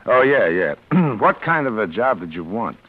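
A tough little older boss in a forties radio crime play. His voice sits low, and he talks in curt, needling, bossy bursts. The old recording sounds dull and boxed in. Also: very animated, moderate pace. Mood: sly, approving. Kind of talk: 1940s radio drama acting.

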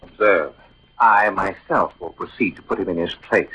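A man's voice: deep voice, moderate pace, sharp tone, husky, heavily acted. An actor playing a haughty, dim young nobleman, drawling pompously in a 1940s radio theatre style. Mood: smug, halting. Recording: muffled old radio recording.

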